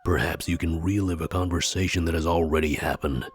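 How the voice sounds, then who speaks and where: An actor playing a solemn, conspiratorial mystic seer in a visual novel game, speaking gravely, deliberately and formally. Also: male voice, low-pitched, fairly even intonation. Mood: solemn, persuasive. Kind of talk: scripted visual novel character dialogue. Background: background music.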